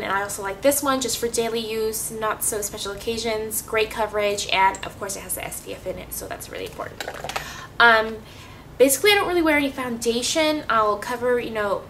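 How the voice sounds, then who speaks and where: A woman's voice: mid-range voice, bright, forceful tone. A woman vlogger chatting casually through her makeup routine, sniffly with a cold and full of ums. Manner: chatty, many ums and restarts. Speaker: beauty vlogger. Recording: slightly distant mic, faint hiss.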